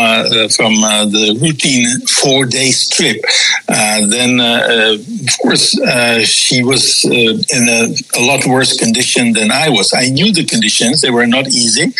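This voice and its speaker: A man with a Czech accent, Czech as first language, speaking haltingly as a guest in a remote interview. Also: mid-range voice, bright, forceful tone, low-quality recording. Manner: halting, many fillers and restarts. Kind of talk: testimony interview.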